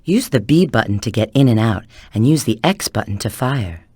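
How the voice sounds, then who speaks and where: A self-assured female field agent from a video game. Her pitch moves around in a lively way as she briefs an ally with clipped, instructive tips. The recording is dry, with no room sound. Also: moderate pace, breathy edge, no room sound, full character voice. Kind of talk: video game tutorial and mission dialogue.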